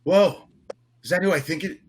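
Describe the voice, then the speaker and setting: An actor playing an eager, urgent young male superhero speedster in an animated script reading. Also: on the high side, normal speed, breathy edge. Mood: brisk. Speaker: voice actor at a cast table read.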